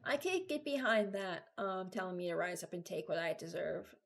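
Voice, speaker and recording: A woman's voice: on the low side, animated, normal speed, vocal fry. A woman giving casual reaction commentary as a video host, rambling and thinking aloud as she ranks game voice lines.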